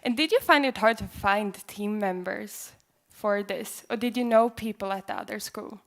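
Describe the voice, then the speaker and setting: A woman's voice: mid-range voice, normal speed, creaky, a bit bright. A young woman hosting on an auditorium stage, interviewing student contestants with friendly questions. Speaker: event host interviewing contestants. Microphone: handheld mic.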